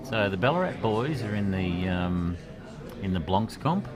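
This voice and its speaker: Quiet, low-voiced Australian pool commentator. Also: male voice, very animated, unhurried, music in the background. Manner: chatty, rambling, many fillers. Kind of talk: relaxed live commentary.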